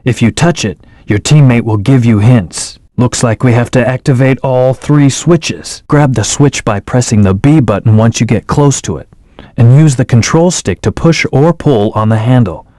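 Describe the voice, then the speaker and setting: An actor voicing an aloof male hedgehog hero in a video game, giving calm, terse commands and hints. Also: medium pitch, moderate pace, dry recording, studio quality, close to an everyday voice. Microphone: studio microphone.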